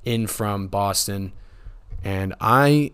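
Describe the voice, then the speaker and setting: A man in his twenties with a raspy voice, co-hosting a podcast in a loose, rambling way, often laughing. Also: lowish voice, very slow, a bit bright. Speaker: podcast co-host. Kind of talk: casual chat.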